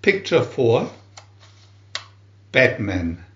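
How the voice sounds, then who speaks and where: A man reading scripted children's narration like an announcer, with a deliberate, dramatic delivery. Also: mid-range voice, very animated. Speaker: booklet reader and channel host. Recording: roomy echo, dull lo-fi sound.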